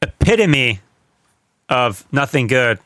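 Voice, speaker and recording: Male co-host, medium pitch, dry close sound, emphatic and sweary, casual with mock-grand announcing. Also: big pitch swings, takes it slowly, fairly bright tone, dry recording. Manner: profane, emphatic. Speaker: podcast co-host.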